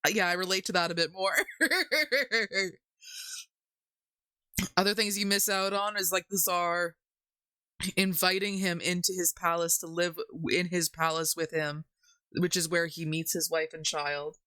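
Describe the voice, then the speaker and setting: A woman's voice: fairly low pitch, a little slow, pauses often, pushed and bright, smooth, dry recording. A woman hosting a livestream, chatting casually and jokily about folk tales in a rambling way. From Canada. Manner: jokey, giggly, rambling. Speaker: livestream host.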